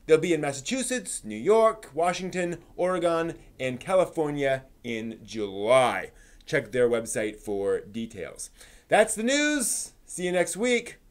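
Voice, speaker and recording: A man with a moderately high-pitched voice hosts a casual live stream. He talks informally, rambling a little and thinking aloud, as if chatting directly with his viewers. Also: very animated, measured pace. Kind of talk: casual live stream chat about anime news and giveaways.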